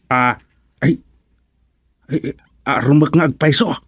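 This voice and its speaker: A man playing a bashful village councilor in an Ilocano radio comedy, with an Ilocano accent and a halting, chuckling delivery. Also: on the high side, fairly even intonation, a bit bright, breathy edge. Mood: bashful, amused. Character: laughing, bashful village councilor, giddy about marrying. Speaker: actor in radio comedy drama. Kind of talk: Filipino radio drama comedy acting.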